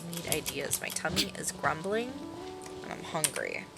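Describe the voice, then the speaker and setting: A woman's voice: low-pitched, huge pitch swings, moderate pace, creaky. A young woman streaming a game, chatting in a bubbly way and thinking aloud. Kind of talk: casual chat while gaming. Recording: voice over loud game music.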